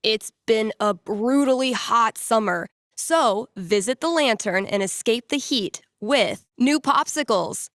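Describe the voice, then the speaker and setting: A woman's voice: fairly high pitch, lively intonation, slow, few pauses, a bit bright, no room sound, clean studio sound, full character voice. An actress voicing a wry, playful young woman who hosts small-town radio in a video game, with a casual, irreverent, conversational delivery. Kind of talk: casual, jokey radio ad reads and call-in chat.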